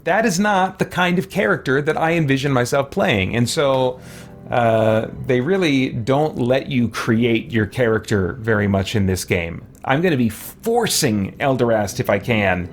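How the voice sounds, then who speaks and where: A man's voice: medium pitch, expressive, measured pace, slight background noise. A man giving casual gaming commentary as a let's play host, rambling and thinking aloud over menus.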